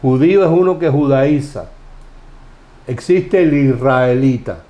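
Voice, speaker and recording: A man's voice: medium pitch, a little slow, warm tone. Cuban man teaching scripture conversationally. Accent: Cuban Spanish. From Cuba. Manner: questioning, explanatory, frequent restarts. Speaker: Bible teacher. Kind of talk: conversational Bible study.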